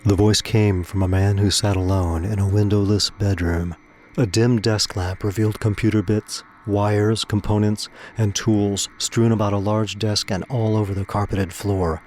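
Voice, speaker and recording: A man's voice: lowish voice, flat delivery, normal speed, a little mellow, a little hiss or hum. A man reading scripted dramatic narration for an audio drama. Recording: music under the voice. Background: music.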